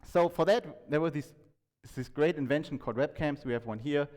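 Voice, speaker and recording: A man giving an informal talk to an audience, conversational and halting, often restarting his sentences and checking in with questions. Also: on the high side, darkish tone. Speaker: conference speaker. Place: indoor talk venue, reverberant room. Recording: echoey hall with long reverb.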